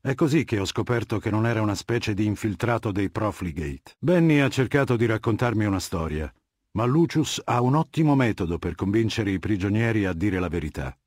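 An actor playing a ruthless middle-aged warlord dictator in a video game, speaking in an imperious, measured, menacing manner with a husky voice. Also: male voice, on the low side, lively intonation, darkish tone, no room sound, clean studio sound. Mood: commanding. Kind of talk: dubbed video game dialogue.

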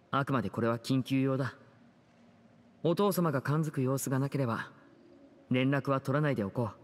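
Young male stage magician for anime game, man acting: kind, composed leader, earnest and measured, reverberant. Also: mid-range voice, close to an everyday voice.

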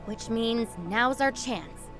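A woman's voice: a little high, expressive, slow, long reverb tail, music in the background. A woman playing a young, feisty and emotional princess in a video game cutscene. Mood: earnest.

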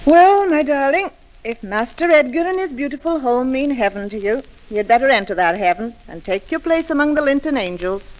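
An actress playing a protective, motherly housekeeper, brisk and pointed, in a 1940s radio drama. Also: sits high, lively intonation. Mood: uneasy, knowing. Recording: noisy old radio recording.

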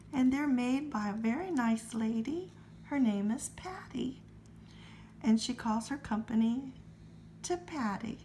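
A woman vlogging as she scratches lottery tickets, her throat a little scratchy, calmly reading out numbers in a friendly way. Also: high-pitched. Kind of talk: casual to-camera chat while scratching tickets.

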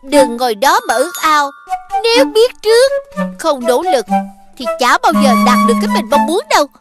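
Bossy, squeaky-high talking pen. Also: female voice, sing-song, normal speed, over loud music. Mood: scolding. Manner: preachy, commanding. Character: bossy, scolding little talking magic pen. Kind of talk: animated children's cartoon acting.

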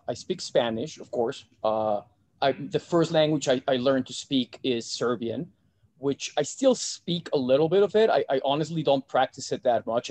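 Man from Mexico, middle-aged, relaxed guest chatter, video call, dry sound. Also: mid-range voice, no echo. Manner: relaxed, chatty, frequent restarts. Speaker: guest, investment bank founder. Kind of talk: casual interview over video call. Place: home office.

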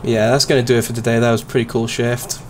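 A man with a slightly raspy voice and sore throat, calmly roleplaying a sheriff's deputy in an easygoing, polite way. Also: medium pitch, fast, background noise. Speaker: streamer playing a sheriff's deputy. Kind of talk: casual roleplay chat.

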